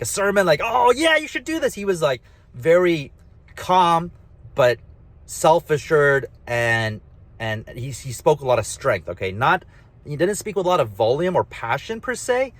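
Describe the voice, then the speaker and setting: Nasal, mumbly man rambling casually. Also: mid-range voice. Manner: casual, filler-heavy, emphatic asides. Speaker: host. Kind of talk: rambling solo talk.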